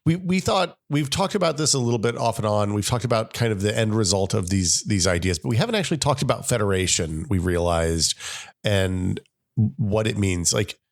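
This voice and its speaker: A man's voice: lowish voice, animated, brisk, vocal fry. A man co-hosting a casual tech podcast, sounding scratchy from a summer cold, rambling with many restarts and fillers. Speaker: podcast co-host.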